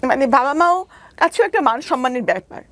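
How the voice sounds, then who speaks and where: A woman with a Bangladeshi accent giving a candid, personal account in a radio interview, halting at times and re-explaining herself as she goes. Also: sits high, lively intonation, fairly bright tone. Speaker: interview guest telling her life story; doctor. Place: radio studio.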